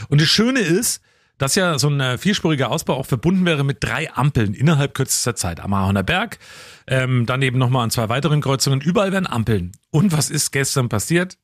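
A man's voice: mid-range voice, dry recording, studio quality. A man co-hosting a casual podcast, emphatic and wry, repeating words to make his point. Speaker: podcast and radio co-host. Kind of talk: casual banter.

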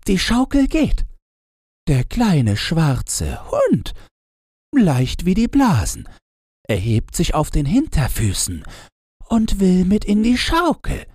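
A man's voice: high-pitched, wildly swooping pitch, slow pace, a little mellow, slightly rough, no echo, studio quality, voice pushed up, heavily acted. A man voicing a small, dreamy snow flower from a fairy tale, reciting in a light, lilting way.

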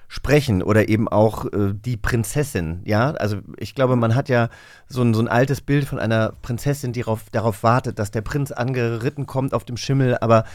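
A man's voice: medium pitch, normal speed, darkish tone, no echo. Dry-recorded man hosting a casual interview. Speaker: radio magazine host, interviewer.

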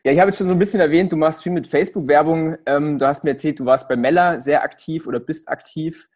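A man's voice: a little high, steady tone, fast talker, darkish tone. A male podcast host who interviews his guest in an enthusiastic, casual way with English buzzwords mixed in.

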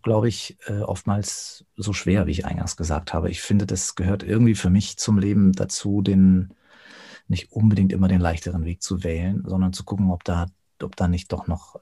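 A man speaking reflectively as an interview guest, thoughtful and often pausing to search for words. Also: deep voice, little pitch movement, dark tone, husky. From Germany.

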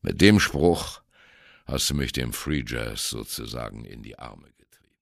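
A man playing a wry, embittered old German jazz drummer telling his life story in a radio play, with a gravelly, husky voice and a sardonic, conversational manner. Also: a bass voice, animated, a little slow, full character voice. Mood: wry reminiscence. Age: older. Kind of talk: dramatic first-person radio play monologue.

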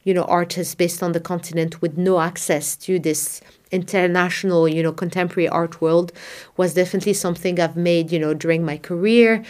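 A woman's voice: lowish voice, steady tone, a little fast, darkish tone. A middle-aged woman from Morocco, a founding director of an art fair, answering interview questions thoughtfully with frequent fillers and restarts. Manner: thoughtful, many "you know" fillers and restarts.

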